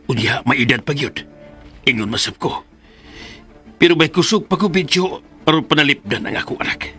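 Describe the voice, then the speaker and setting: A man's voice: on the high side, animated, moderate pace, a bit bright, soft background music. A man acting a worried, protective parent in a radio drama, grave and insistent, fearful of bad omens. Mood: worried, pleading.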